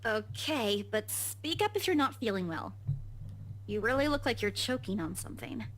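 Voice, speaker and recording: A woman's voice: on the high side, expressive, normal speed. A woman playing an anxious, caring and proper young woman in a visual novel game. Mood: concerned.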